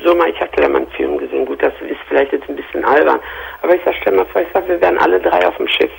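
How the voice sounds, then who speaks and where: A woman in her forties calling in by phone, distraught and pleading, with a gravelly voice. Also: fairly low pitch, racing. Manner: distraught, pleading, quotes others. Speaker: caller. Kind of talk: emotional call-in confession. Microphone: telephone. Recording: phone line with faint hiss.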